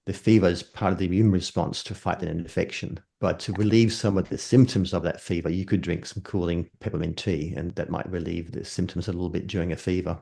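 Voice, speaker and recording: Older herbalist, very low, dark gravelly tone, measured explainer. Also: male voice. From Denmark. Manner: measured, explains terms step by step. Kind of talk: explanatory interview answers.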